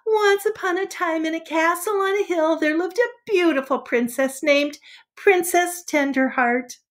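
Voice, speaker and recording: A woman voicing a prim young girl telling a sentimental fairy tale, expressive and easily indignant, in a storybook read-aloud. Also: very high voice, a little slow, voice pushed up. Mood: dreamy, sweet.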